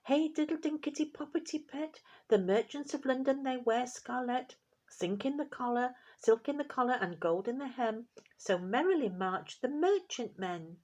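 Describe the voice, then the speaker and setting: A chorus of tiny, cheeky storybook mice chirps out rhymes in a high, sing-song lilt, as a woman reader puts them on. They tease and twitter, their pitch jumping well above an ordinary speaking voice. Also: steady tone, takes it slowly, hardly any pauses, darkish tone. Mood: merry singing.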